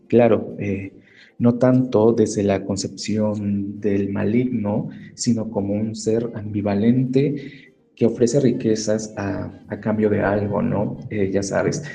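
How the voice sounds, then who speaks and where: Gravelly low-voiced man explaining slowly. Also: dark tone. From Mexico. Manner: measured, with frequent "eh" and "¿no?" tags. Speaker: literature scholar and guest. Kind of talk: explanatory podcast talk.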